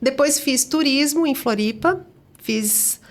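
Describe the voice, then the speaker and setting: A woman in her forties with a Brazilian accent, a German native speaker, telling her story as a relaxed guest who often ends phrases with tag questions. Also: high voice, slow pace. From born in Germany, raised in southern Brazil. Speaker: singer and interview guest. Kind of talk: casual conversational interview.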